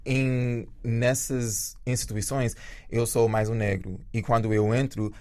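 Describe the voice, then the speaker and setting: A man from Jamaica with a Jamaican accent and Brazilian-tinged Portuguese, speaking haltingly with restarts as a guest in a casual panel conversation. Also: mid-range voice, little pitch movement, a little mellow. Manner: halting, restarts and self-corrections. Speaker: guest, ballet dancer.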